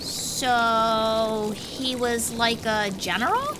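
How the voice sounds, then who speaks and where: Actress as inquisitive fantasy kid, female, highish voice, very unhurried, blunt and stubborn, music behind. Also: noisy background. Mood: curious. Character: curious, blunt, stubborn young web-fingered girl. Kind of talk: dramatised fantasy audiobook acting.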